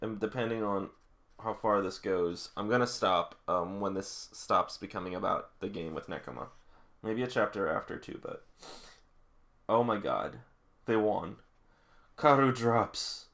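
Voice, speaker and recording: A man's voice: lowish voice, vocal fry, a bit bright. A man doing a read-along with casual, emotional running reactions, often exclaiming like an absorbed fan. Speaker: reader reacting to a manga.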